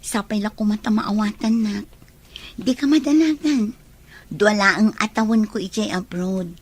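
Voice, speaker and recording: A woman acting as an apologetic but determined heroine with a slightly husky voice. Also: mid-range voice, moderate pace, darkish tone, lightly acted. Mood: gently insistent. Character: Annalyn, apologetic, determined woman leaving for work abroad. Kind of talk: Ilocano radio-style drama acting.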